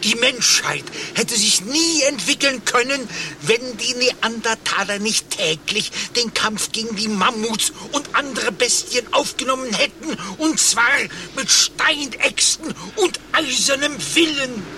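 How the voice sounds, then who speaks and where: An actor playing a long-winded, doggedly optimistic former referee in a satirical German radio play, telling things in an emphatic, declamatory way. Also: male voice, extremely high pitch, measured pace, few pauses, noisy background. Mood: resolute.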